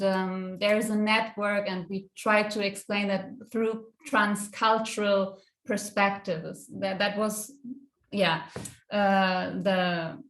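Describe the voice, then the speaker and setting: A woman from Europe speaking as a conference panelist in a spontaneous academic discussion, halting and searching for words. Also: mid-range voice. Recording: echoey room sound.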